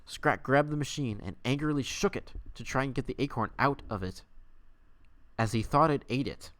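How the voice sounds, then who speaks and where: A man hosting a livestream, mixing mock-dramatic story reading with casual chat in a tongue-in-cheek way. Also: medium pitch. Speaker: livestream host.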